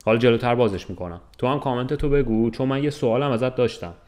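A man's voice: medium pitch, a little mellow. Young man chatting casually, explaining things. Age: 20s. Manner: explanatory, colloquial, checks in with listener. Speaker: podcast co-host. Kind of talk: casual chat. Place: room with large window, indoors. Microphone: desk microphone.

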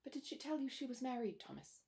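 A woman's voice: high-pitched, very animated, fast talker, vocal fry, darkish tone, voice pushed up, full character voice. A genteel middle-aged lady, voiced by a woman reader in a classic storybook. She is an anxious mother, questioning politely in a lifted voice. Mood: anxious, inquiring. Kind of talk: storybook character dialogue.

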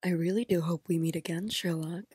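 An actress playing a composed, calculating femme fatale in an audio play, polite and nonchalant in manner. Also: female voice, on the low side, steady tone, brisk, a little mellow, slight background noise, close to an everyday voice.

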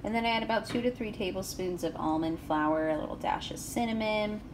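A woman's voice: mid-range voice, fairly quick, background noise. A woman in her thirties chatting casually through a keto food and grocery vlog in her home kitchen, upbeat and full of fillers. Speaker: keto vlogger.

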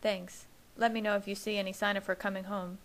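A woman's voice: medium pitch, level tone, fairly quick, fairly bright tone, natural voice. A woman voicing a brooding, anxious young man. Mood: tense. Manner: muttering, anxious.

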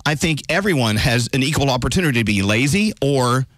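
A radio host in his fifties, upbeat and steering the talk with prompts and questions. His voice sits at an ordinary middle pitch for a man. The sound is close and dry, straight into a studio mic. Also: animated, a little fast, a bit bright, no room sound. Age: 50s. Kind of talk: morning radio interview. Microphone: studio microphone, wearing headphones.

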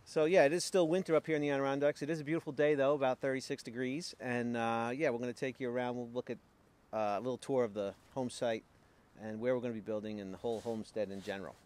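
A man's voice: mid-range voice, steady tone, fast talker, darkish tone. Practical middle-aged man explaining outdoors. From Long Island, New York. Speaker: homesteading vlogger. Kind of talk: casual chat. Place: outdoors by a tent garage.

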